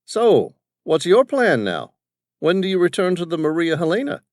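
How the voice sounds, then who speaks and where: A man's voice: high-pitched, very animated, moderate pace, darkish tone, no echo, studio quality, voice pushed up. A man voicing an arrogant, condescending wealthy older businessman who treats everyone as hired help. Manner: pompous, condescending.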